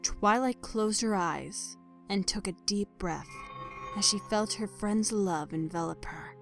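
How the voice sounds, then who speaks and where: A woman's voice: mid-range voice, measured pace, vocal fry. Woman narrating a story over faint music. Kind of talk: scripted dramatic story narration.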